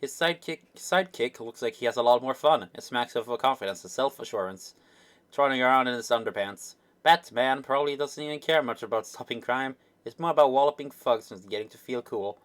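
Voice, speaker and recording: A man's voice: mid-range voice, fairly quick, close to an everyday voice. Man acting rambling, indignant sidekick enthusiast. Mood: scornful. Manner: rambling mock-indignant rant with quaint slang.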